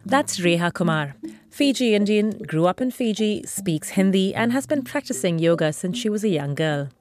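A woman host narrating a podcast in a calm, clear, scripted presenter style. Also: mid-range voice, moderate pace, faint music behind.

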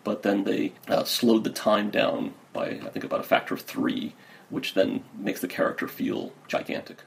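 A man's voice: fairly low pitch, little pitch movement, normal speed, gravelly. A man answering interview questions about animation in a hesitant way, often restarting his sentences. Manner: hesitant, with repeated starts.

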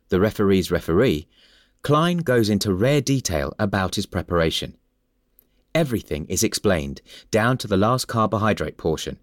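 A man reading a scripted story as narrator, in a measured storytelling manner. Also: lowish voice, no room sound, studio quality.

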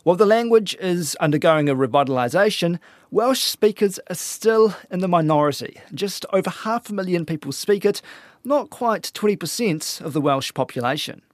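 A man narrating a scripted news feature and asking interview questions in a plain, measured way. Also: high-pitched, animated, no room sound, studio quality.

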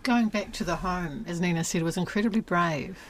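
A woman's voice: on the low side, a little fast. A woman hosting a radio arts programme, presenting and interviewing. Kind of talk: broadcast arts interview with scripted introduction.

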